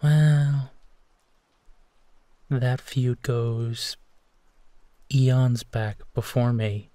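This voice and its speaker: A man playing a gentle, protective werewolf who tenderly reassures his partner in an intimate bedtime roleplay, leaving long pauses. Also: mid-range voice, steady tone, no echo. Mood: gently wry. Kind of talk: intimate roleplay audio, soft bedtime comfort.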